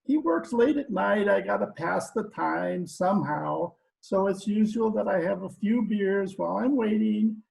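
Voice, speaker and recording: Croaky, dim-witted gangster henchman. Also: high-pitched, darkish tone, heavily acted, low-quality recording. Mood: defensive, dopey. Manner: slow-witted, whiny, slangy, ungrammatical. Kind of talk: 1940s radio comedy acting. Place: remote video-call performance.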